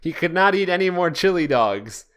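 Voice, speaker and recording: A man's voice: high voice, expressive, moderate pace, fairly bright tone. A man hosting a podcast, reading fan fiction aloud in a casual comedic way and stumbling over the odd word. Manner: often breaks into laughter, stumbles over words. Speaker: podcast host.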